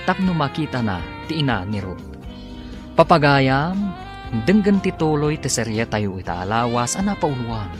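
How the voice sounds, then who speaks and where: A man with a medium-pitched voice narrates a radio drama. His scripted delivery is deliberate and dramatic, like a serial announcer, with music clearly playing behind him. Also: very animated.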